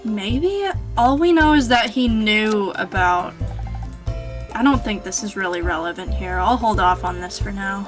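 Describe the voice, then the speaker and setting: A woman's voice: medium pitch, measured pace. A woman chatting casually as a co-player on a game stream, with game music underneath.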